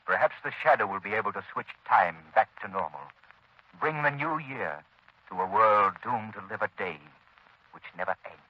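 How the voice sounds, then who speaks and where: An actor playing a grave, resolute crime fighter who speaks earnestly and with command in a 1930s radio drama. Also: male voice, fairly low pitch, expressive, moderate pace. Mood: grave, brooding. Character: resolute, grave male crime fighter with secret mental powers. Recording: dull old 1930s radio recording.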